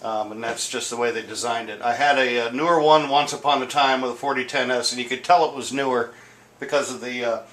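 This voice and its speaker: A man's voice: medium pitch, fairly even intonation, fairly quick, fairly bright tone. A man giving a casual product demonstration, explaining in a rambling way and often correcting himself mid-sentence. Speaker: vintage audio gear seller demonstrating a tape deck. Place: indoor room at home, beside stereo gear. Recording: roomy echo with noticeable background noise.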